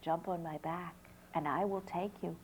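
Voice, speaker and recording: A woman's voice: on the low side, steady tone, normal speed, breathy edge, pitched below the performer's own voice. A woman voicing a grateful, courteous turtle servant in a folk tale. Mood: courteous, inviting.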